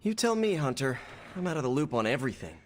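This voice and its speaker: An actor playing an eager, anxious young male superhero in a video game, excitable and aggrieved. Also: medium pitch, fast, slight background noise, lightly acted. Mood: frustrated. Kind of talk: cinematic video game acting. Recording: long reverb, faint music behind.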